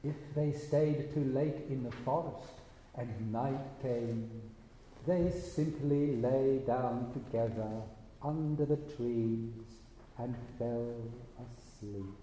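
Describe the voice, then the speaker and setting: A man narrating a fairy tale for a stage performance, with measured, deliberate phrasing and pauses. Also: medium pitch, dark tone. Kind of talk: fairy tale storytelling for a eurythmy stage show. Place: large indoor stage hall with an audience. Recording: very echoey hall, distant, faint noise.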